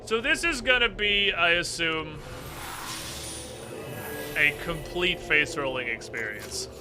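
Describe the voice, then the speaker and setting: A man's voice: fairly high pitch, animated, takes it slowly. A man giving casual, joking gaming commentary as a streamer, with some swearing. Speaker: game streamer. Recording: music behind the voice. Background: game music.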